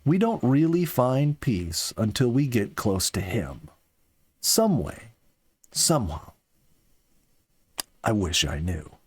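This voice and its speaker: A man reading the part of an earnest, philosophical young soldier in an audiobook, with slow, deliberate phrasing. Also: lowish voice, big pitch swings, breathy edge, exaggerated voice. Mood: yearning, reflective. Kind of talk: audiobook character dialogue.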